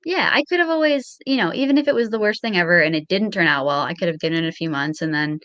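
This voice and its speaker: A woman's voice: on the low side, fast, fairly bright tone, no room sound, lo-fi sound. A young woman chatting casually as an interview guest, with a modest, somewhat hesitant way of talking. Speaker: guest on a show.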